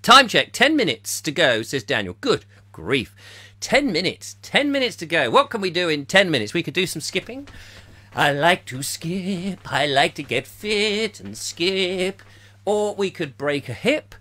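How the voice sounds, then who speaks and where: A man hosting a comic live chat show, playful and theatrical, slightly hoarse, slipping into silly voices. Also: high-pitched, big pitch swings, measured pace, bright, forceful tone, no room sound. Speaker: comedy chat show host.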